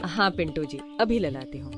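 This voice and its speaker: A woman voicing a devoted village wife and mother who talks gently and caringly in a cartoon story. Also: low voice, expressive, normal speed, music in the background, pitched below the performer's own voice. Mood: gentle. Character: worried devoted young wife and mother, cook.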